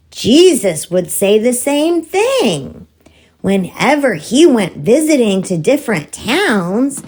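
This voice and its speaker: Lady doing a high excitable puppet critter for kids story time, slow sing-song, super swoopy. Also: exaggerated voice. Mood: gentle teaching. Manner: exaggerated sing-song, simple words.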